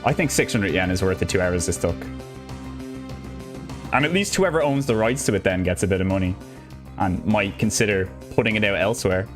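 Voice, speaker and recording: A man's voice: fairly low pitch, a little fast. A young Irish man with an Irish accent, casually chatting through a live gameplay stream in an upbeat, rambling way. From Ireland. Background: game music.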